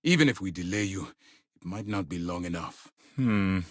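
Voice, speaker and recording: An actor playing a stern, commanding space military commander in a video game, speaking in a formal, measured, authoritative way. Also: male voice, low-pitched, lively intonation, dry recording, studio quality. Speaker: fleet commander.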